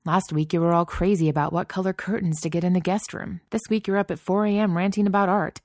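A woman's voice: lowish voice, normal speed, creaky, darkish tone, no room sound, clean studio sound. A woman doing a condescending young boyfriend. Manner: condescending, placating.

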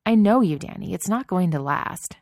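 A woman's voice: on the low side, lively intonation, moderate pace, a little mellow, no echo, studio quality. A woman voicing a dismissive, condescending young man who talks down to his partner in a placating tone.

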